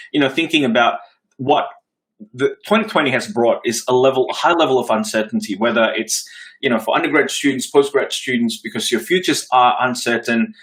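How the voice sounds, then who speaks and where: A man's voice: medium pitch, fairly bright tone. Male academic, Australian accent, grew up in Singapore; mid-range voice, reflective interview answers full of restarts. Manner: thoughtful, frequent restarts and fillers. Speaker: associate dean, interviewee.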